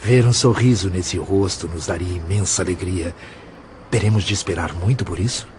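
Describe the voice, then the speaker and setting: A man with a Brazilian accent playing a kindly, fatherly spirit mentor in an audio drama, speaking in a gentle, courteous way. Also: low-pitched, normal speed, natural voice. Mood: kindly, welcoming.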